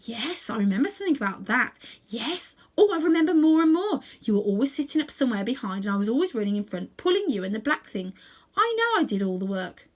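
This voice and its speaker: A woman voicing a slow, thoughtful talking horse in a children's storybook, hesitant and repeating himself as he muddles along. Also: a little high, very animated, pitched above the performer's own voice. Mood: slowly remembering. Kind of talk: children's storybook character reading.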